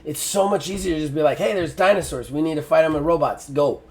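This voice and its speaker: A man's voice: on the high side, fast talker. A middle-aged man giving a frank spoken game review, often exasperated, with lots of fillers and restarts.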